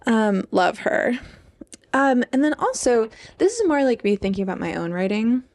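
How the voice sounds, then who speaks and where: Young woman with a creaky voice. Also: on the high side, sing-song, moderate pace, a little mellow. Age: young adult. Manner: informal, peppers speech with "like". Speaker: podcast co-host. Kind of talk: casual chat.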